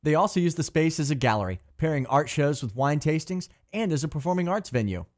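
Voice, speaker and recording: A man's voice: on the high side, lively intonation, fast talker. A man giving scripted narration for a feature about a local cafe.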